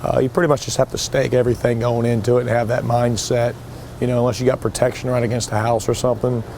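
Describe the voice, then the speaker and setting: A foreman gives a quick, matter-of-fact progress update outdoors at a windy beach-side job site. He talks fast in a flat, level tone, with faint outdoor noise behind him. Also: mid-range voice. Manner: brisk, matter-of-fact, working-crew talk.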